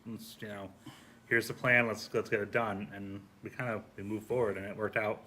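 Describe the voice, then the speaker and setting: Bright-voiced man telling stories casually. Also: on the low side, level tone, moderate pace. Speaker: firefighter on a podcast. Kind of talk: casual storytelling.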